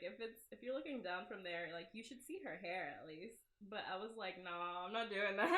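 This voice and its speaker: A woman's voice: mid-range voice, fairly bright tone. Echoey-room woman, casual game playthrough. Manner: giggly, informal, self-deprecating asides. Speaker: game creator playing through own visual novel. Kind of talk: casual let's-play commentary mixed with reading game text aloud.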